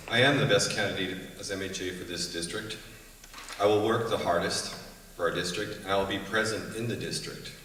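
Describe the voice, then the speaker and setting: A man running for office states his case firmly in short claims and stops to correct himself at times. His voice is very deep. It comes from a distance in a large hall with long reverb and faint noise. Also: bright, forceful tone. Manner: firm, declarative, self-correcting. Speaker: election candidate. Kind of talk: prepared debate statement.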